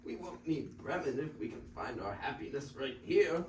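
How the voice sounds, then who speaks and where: Earnest donkey leader, highish, played by teenage boy. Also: animated, normal speed, close to an everyday voice. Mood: rallying. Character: earnest, bossy peacemaking old donkey leader. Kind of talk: classroom reader's theater. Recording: echoey classroom, distant mic, faint noise.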